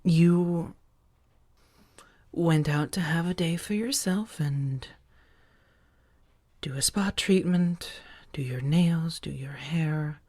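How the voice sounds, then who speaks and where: A woman playing a protective, affectionate female vampire roommate in a soft-spoken ASMR roleplay, breathy and often near a whisper, with halting, earnest delivery. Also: deep voice. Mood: worried, reproachful.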